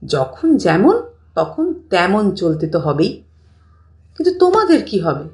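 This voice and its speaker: A woman's voice: mid-range voice, big pitch swings, slow pace, dark tone. A woman voicing a dutiful, earnest young man, a devoted grandson in an audio story. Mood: worried. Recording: roomy, distant mic, music bed.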